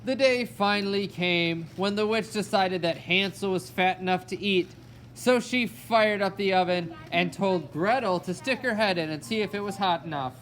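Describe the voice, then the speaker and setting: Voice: medium pitch, normal speed, slight background noise. A storyteller narrating a fairy tale for a children's puppet show. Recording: loud music alongside the voice. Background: music.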